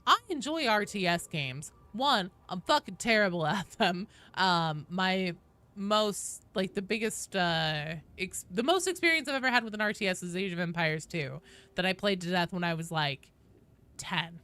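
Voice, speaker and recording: A woman's voice: lowish voice, animated, normal speed, sharp tone. A woman in her late thirties chatting casually on a game livestream and reading out viewer messages, informal and sweary. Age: late 30s. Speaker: game streamer.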